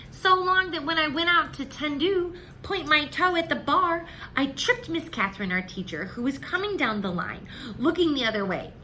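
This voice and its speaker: Female children's storyteller, expressive and emphatic, slightly high bright voice, some background noise. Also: rough recording. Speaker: read-aloud storyteller.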